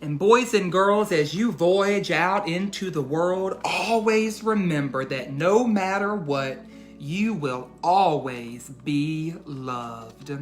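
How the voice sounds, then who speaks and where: Guy teacher doing a bedtime read aloud for kids, talks right to them, really deliberate emphasis, faint music behind. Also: a little high. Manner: deliberate emphatic phrasing, addressing children directly. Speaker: educator hosting a read-aloud for children. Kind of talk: children's bedtime read-aloud and talk to young listeners.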